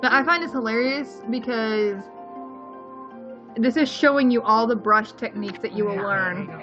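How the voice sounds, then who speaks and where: A woman's voice: on the high side, normal speed. A woman co-hosting a let's play with casual, chatty commentary on a game, on a dull lo-fi recording. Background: game music.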